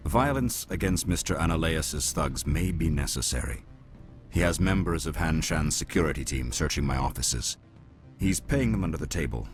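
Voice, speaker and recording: An actor playing a shrewd, formal alien businessman in a video game, speaking in measured, precise phrases. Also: a bass voice, lively intonation. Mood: calm, businesslike. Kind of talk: scripted video game dialogue. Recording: music behind the voice.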